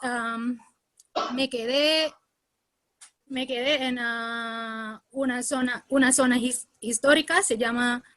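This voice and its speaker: A woman from Indonesia with an Indonesian accent, giving a seminar presentation in beginner Spanish, often pausing to search for words, over a video call. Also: fairly high pitch, fairly even intonation, fairly bright tone, no echo.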